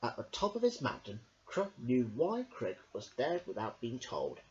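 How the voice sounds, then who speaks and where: Theatrical, very animated woman storyteller. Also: rumbling low, normal speed. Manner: theatrical, expressive. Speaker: story reader. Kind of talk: dramatic children's storybook narration. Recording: dull lo-fi home recording.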